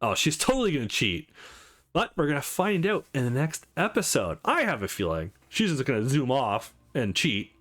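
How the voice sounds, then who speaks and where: A man reading a visual novel aloud as a let's play host, adding his own commentary in an animated way. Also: medium pitch, moderate pace. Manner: animated, acts out character lines while reading.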